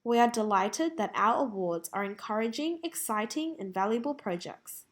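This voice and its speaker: A woman with an Australian accent reads scripted practice passages aloud in a measured, careful way. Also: a little high, expressive. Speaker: reader of English test practice passages.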